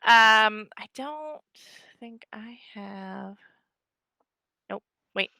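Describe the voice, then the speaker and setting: Giggly bright-voiced woman player. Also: medium pitch, very slow, vocal fry, no echo, poor audio. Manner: giggly, cheery, short eager replies. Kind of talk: casual play at the table.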